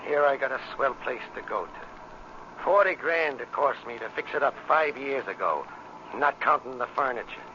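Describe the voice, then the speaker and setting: A man's voice: medium pitch, animated, normal speed, sharp tone. An actor playing a cocky, flirtatious tough guy with slangy, smug swagger in a 1940s radio drama. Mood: boastful. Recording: muffled, noisy old radio recording.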